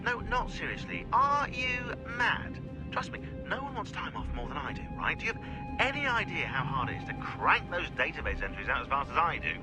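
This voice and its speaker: An actor playing a sardonic, fussy researcher in a video game, with a dry, wordy, sarcastic delivery. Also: male voice, a little high, big pitch swings, a little fast, music in the background, poor audio. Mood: sarcastic, indignant. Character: sardonic, fussy male researcher on a covert team. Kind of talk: video game cutscene acting.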